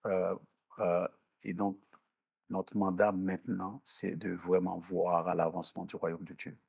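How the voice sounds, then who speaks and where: A mature man from Haiti and Canada, answering as an interview guest in a deliberate, searching, preacher-like explanatory way. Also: low voice, completely flat delivery, gravelly, breathy edge, no echo. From born in Haiti, grew up in Canada. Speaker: minister and executive coach, interview guest.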